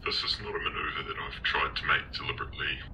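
A man speaking as a pilot in a phone interview, measured and explanatory. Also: rumbling low, flat delivery, sharp tone, slight background noise.

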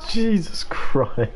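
A young man with a croaky voice, chatting casually and swearing as he livestreams a game and greets viewers by name. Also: high voice, a little hiss or hum. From United Kingdom. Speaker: streamer. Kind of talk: casual gaming livestream chat.

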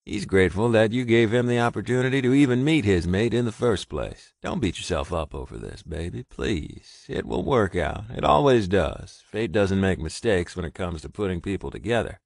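A man's voice: on the low side, sing-song, normal speed, hardly any pauses, no room sound, studio quality. A man reading the part of a rugged, protective cowboy alpha in an audiobook, folksy and commanding. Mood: soothing.

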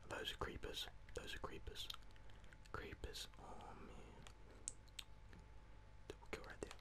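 A young gamer speaks entirely in a whisper, giving ASMR play-by-play of a video game. The delivery is casual and muttered, built from short reactions and the odd swear word. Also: slow pace. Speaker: gaming streamer. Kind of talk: whispered ASMR gameplay commentary.

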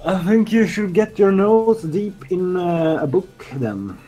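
A man's voice: sits high, lively intonation, takes it slowly, dark tone, low-quality recording. A young man streaming game development, joking around in casual chat from a small room, a little music behind. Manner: playful, teasing, jokey rambling.

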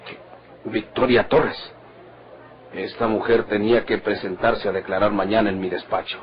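A man acting a formal, brisk public prosecutor questioning witnesses in an old Mexican radio drama. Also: on the low side, fairly even intonation, natural voice. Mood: startled. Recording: dull vintage radio recording.

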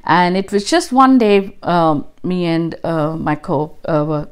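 A woman's voice: on the low side, animated, slow pace, clean tone. A woman in her thirties from Mumbai with an Indian accent, answering interview questions in a chatty way with many fillers, recorded in a living room. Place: living room, seated on sofa by window.

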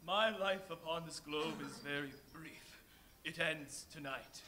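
A man's voice: fairly high pitch, measured pace. A man playing a solemn, dying Christmas spirit in a live stage play. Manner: solemn, then anguished shouting. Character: gaunt dying male Christmas Present spirit. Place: large hall with audience.

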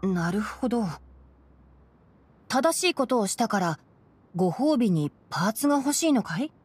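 A woman playing a composed, knowledgeable young fox-eared male forest ranger in a Japanese game, explaining things in a measured way. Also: fairly high pitch, sing-song, noisy background. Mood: calm, kindly. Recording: game music behind the voice. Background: background game music.

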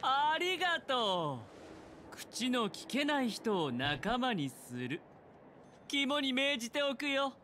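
A man playing a suave, flamboyant young gambler in an anime game, speaking in a smooth, theatrical, self-assured way. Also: extremely high pitch, very animated, slow, pushed and bright, slight background noise, full character voice. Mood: wry. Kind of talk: Japanese anime game voice acting.

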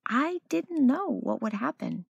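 Woman reading as an earnest, measured male scientist. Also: high-pitched, big pitch swings, gravelly, slightly rough, no room sound, lo-fi sound, voice pushed up, exaggerated voice. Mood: worried. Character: earnest, hopeful male scientist recalling a global crisis.

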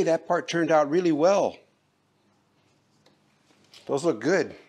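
A man's voice: on the high side, darkish tone. A middle-aged man giving casual, folksy how-to narration while doing a DIY job, recorded on a camera mic in an open garage and outdoors. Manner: folksy, plain-spoken instructions. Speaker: DIY vlogger.

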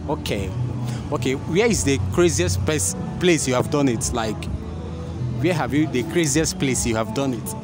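A man with a Nigerian accent hosts a casual street interview in a mid-pitched voice. He is teasing and tends to repeat words back. He is recorded outdoors, with clear music behind him. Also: moderate pace. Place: outdoors by a street wall and parked car.

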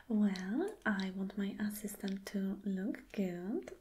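Woman playing a flirty caring lady boss, asmr whispering, soft n breathy, real slow, medium pitch. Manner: slow, gentle, coaxing, with hums of agreement. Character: flirty, caring female boss courting an employee. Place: small dim indoor room.

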